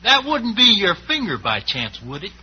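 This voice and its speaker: An actor playing a folksy, teasing cowboy sidekick with a Western drawl in an old-time radio show. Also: male voice, sits high, huge pitch swings, moderate pace. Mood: wary, needling. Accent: American Western drawl. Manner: folksy, rambling, hesitant drawl. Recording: muffled, hissy old radio recording.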